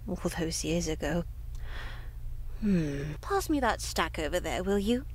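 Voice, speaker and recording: A woman voicing a stern, pious older nun in a visual novel, speaking in a measured, formal, admonishing way. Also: fairly low pitch, big pitch swings, a little hiss or hum. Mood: brisk, guarded. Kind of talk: voiced visual novel dialogue.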